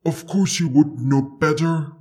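A massive, proud dragon for an audiobook. The delivery is very slow and dark-toned, with formal, haughty phrasing and a possessive, easily offended temper. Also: mid-range voice, slightly rough. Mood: cross, sarcastic. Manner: imperious, haughty, stilted formal speech.

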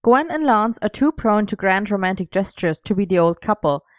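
An excitable, cheeky young man, as a woman narrator puts him on, raised in pitch and bursting with energy. His lines come out animated and exclamatory. The recording is dry and slightly muffled. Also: moderate pace, no room sound, close to an everyday voice. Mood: excited.

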